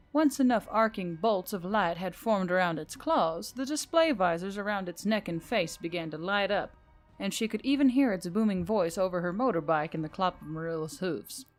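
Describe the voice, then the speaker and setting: A woman's voice: mid-range voice, expressive, moderate pace, faint music behind. A woman reading scripted fiction as a story narrator.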